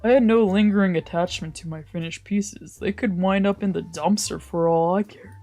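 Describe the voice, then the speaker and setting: A woman's voice: lowish voice, fairly even intonation, normal speed, warm tone, dry recording, faint music behind. A woman reading scripted first-person visual novel narration aloud.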